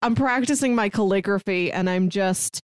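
A woman's voice: mid-range voice, moderate pace, clear, resonant tone, dry recording, clean studio sound. A woman podcast co-host chatting casually about films and shows in a wry, talkative way.

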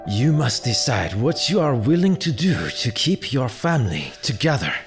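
A man's voice: mid-range voice, very animated, moderate pace, over loud music. A man acting in an audio drama as a loyal, insistent friend urging action. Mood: urgent.

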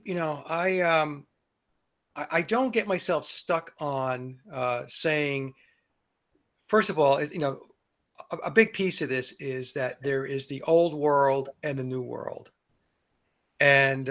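A man as a guest in a casual interview over a video call, speaking haltingly with many fillers and restarts. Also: medium pitch, vocal fry, a bit bright.

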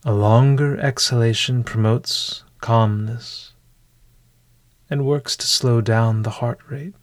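A man narrating a scripted bedtime meditation as a podcast host, in a hushed, deliberate manner with long pauses. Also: medium pitch, steady tone, no echo.

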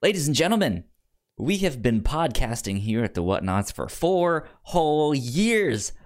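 A man's voice: medium pitch, very animated, unhurried. A man hosting a podcast in casual, informal chat, repeating words for emphasis. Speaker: podcast host.

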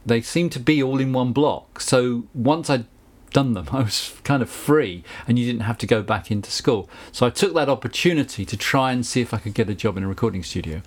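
A middle-aged man speaks with a Southern English accent, unhurried and conversational. He tells long stories in an interview, wanders into asides, and keeps an easy, anecdotal flow at a middling pitch. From England. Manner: anecdotal, chatty, with frequent asides and laughs. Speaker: guest, recording engineer and producer. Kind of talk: relaxed interview storytelling.

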